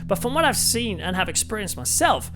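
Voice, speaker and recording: A man's voice: sits high, sing-song, a little fast, over music. A man hosting a review show in a chatty, enthusiastic, conversational way. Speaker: video review host.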